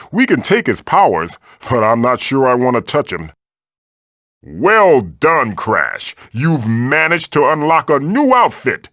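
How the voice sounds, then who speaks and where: Floating tiki mask guide, video game, fatherly, wisecracking, theatrical, slow-paced, very dry close sound. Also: medium pitch, fairly bright tone, no room sound. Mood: wry, then proud, congratulatory. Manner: theatrical, encouraging, wry. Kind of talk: video game character voice lines, tutorials and quips.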